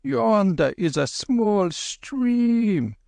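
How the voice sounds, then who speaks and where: A gentle old lama speaks in a raised, unhurried voice with calm, dignified, old-fashioned phrasing, as a man reads him. The sound is close and dry, with a gravelly edge under the lifted tone. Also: high-pitched, dry recording, pitched above the performer's own voice, heavily acted. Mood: solemn. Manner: solemn, archaic, dignified speech.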